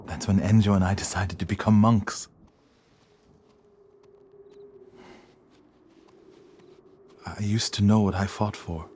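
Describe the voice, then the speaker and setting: An actor playing an earnest, stubborn, devoted warrior monk in a dramatic video game, speaking gravely. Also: male voice, lowish voice, steady tone, fairly quick, darkish tone, a little hiss or hum, natural voice. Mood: somber.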